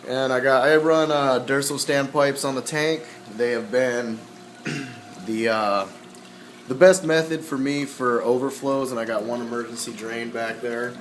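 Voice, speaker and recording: A man walking through his aquarium equipment in a casual, halting way, with many ums and frequent throat clearing, his voice slightly hoarse. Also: mid-range voice, fairly even intonation, roomy sound, slight background noise, poor audio. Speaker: aquarium hobbyist.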